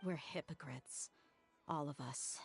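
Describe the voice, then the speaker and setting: A woman playing a tough, brooding young female desert mercenary in a video game, speaking in a measured, introspective, candid way. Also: low voice, faint music behind. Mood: bitter, melancholy.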